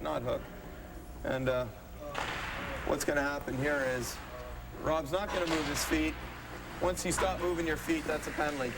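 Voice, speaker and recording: A man giving informal demonstration commentary on sports rules in a plain, halting way. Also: a little high, heavy background noise. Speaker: presenter explaining hockey rules.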